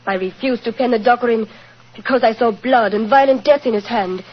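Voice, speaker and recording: An actress playing a solemn, mystical Romani fortune-teller in a 1940s radio drama, speaking in formal, portentous phrases. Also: female voice, fairly high pitch, fairly quick, lightly acted. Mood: ominous, solemn. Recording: dull, hissy old radio recording.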